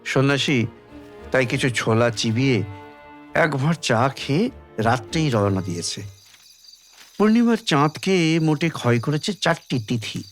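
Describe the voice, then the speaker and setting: A man reading literary fiction as dramatic audiobook narration, measured, with deliberate pauses between phrases. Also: medium pitch, very animated, faint music behind. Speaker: story reader.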